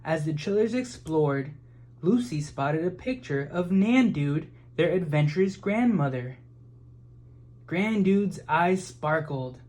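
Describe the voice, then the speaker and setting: A person reading a children's picture book aloud with expressive, measured narration. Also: medium pitch. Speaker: storybook reader.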